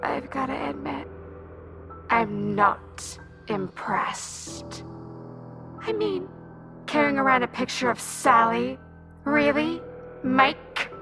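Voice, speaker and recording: Female serpent monster: high-pitched, very unhurried, seductive and mocking, drawing words out, music underneath. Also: animated, vocal fry, exaggerated voice. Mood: scornful. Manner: taunting, sing-song mock-sweet, drawn-out words. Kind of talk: dramatic audio drama acting.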